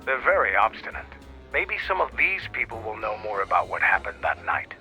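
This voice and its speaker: A blunt veteran warrior in a video game, heard through a radio-like filter. The voice is very sharp and forceful, clipped and disdainful, over faint music. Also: male voice, on the low side, very animated, brisk, heavily acted, low-quality recording. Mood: blunt, appraising. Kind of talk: video game character dialogue.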